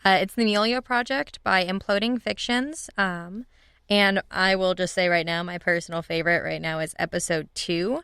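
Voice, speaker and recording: Clear, low-pitched woman chatting casually. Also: steady tone, moderate pace, fairly bright tone, dry recording, clean studio sound. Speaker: podcast guest, writers' room leader. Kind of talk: casual chat.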